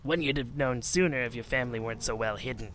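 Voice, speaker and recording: A cruel reptilian kobold bully hisses out insults and threats, as a man reads him in a storybook. The voice has a bright, sharp edge and sits a little high and thin, with a faint hiss behind it. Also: fast talker, close to an everyday voice. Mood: mocking. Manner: hissing, insulting, menacing. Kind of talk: audiobook character voice.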